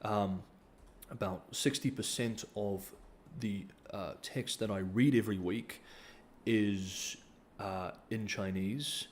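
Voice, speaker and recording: A man's voice: lowish voice, slow, a little hiss or hum. A man, hosting a casual livestream Q&A and reading donor comments, hesitant, with many ums and restarts. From New Zealand. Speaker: livestream host.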